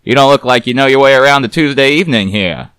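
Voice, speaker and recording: A man's voice: medium pitch, quick pace, fairly bright tone, clear, resonant tone, no echo, pitched above the performer's own voice, natural voice. A man voicing a brash, sardonic ex-cop boat captain in a noir detective story, full of glib wisecracks. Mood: wry, offhand. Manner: glib, sarcastic, rambling wisecracks.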